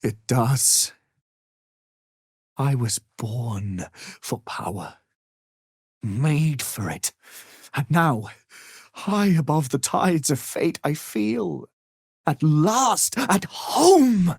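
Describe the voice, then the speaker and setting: An actor playing an arrogant, power-hungry wizard in a video game, speaking in a pompous, theatrical and condescending manner. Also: male voice, a little high, very animated, drawn-out words, vocal fry, pauses often, slightly rough, dry recording, clean studio sound, heavily acted. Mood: grandiose.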